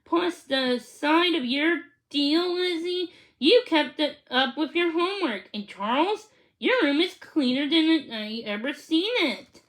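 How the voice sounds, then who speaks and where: A kind mother from a children's book, voiced by a woman reading aloud. She speaks high and slowly, with measured, approving encouragement. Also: animated, poor audio. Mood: proud.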